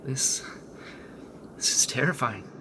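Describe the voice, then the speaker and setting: An actor playing an earnest, caring and determined young rebel in a sci-fi audio drama. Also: male voice, medium pitch, expressive, slow pace, bright, forceful tone, slight background noise, exaggerated voice. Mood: somber, resolute.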